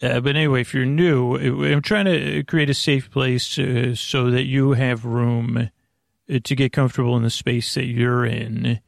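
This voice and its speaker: Male sleep podcast host, creaky and gravelly, mid-range pitch, close dry sound, halting and meandering delivery. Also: fairly even intonation, no room sound. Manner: meandering, halting, self-deprecating. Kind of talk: rambling, off-topic bedtime monologue.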